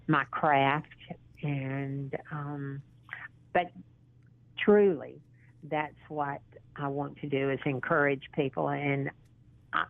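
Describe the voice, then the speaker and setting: A woman in her sixties with a low voice talks slowly over a tinny phone line. She is earnest and encouraging. She often stops with ums and starts her sentences over. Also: no room sound. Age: 60s. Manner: halting, with frequent ums and restarts. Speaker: interview guest, model and actress. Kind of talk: casual phone interview.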